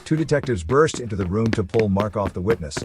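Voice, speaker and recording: A man's voice: lowish voice, lively intonation, quick pace, noisy background. A man reading scripted, dramatic narration for a suspense story. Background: music.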